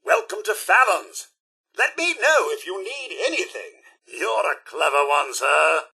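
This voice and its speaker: An actor playing a prim, cheery, sardonic British robot butler in a video game, with a fussy, over-polite manner and dry sarcasm. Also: male voice, high voice, very animated, a little slow, creaky, pushed and bright, slightly rough, full character voice. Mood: courteous service. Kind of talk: video game companion voice lines.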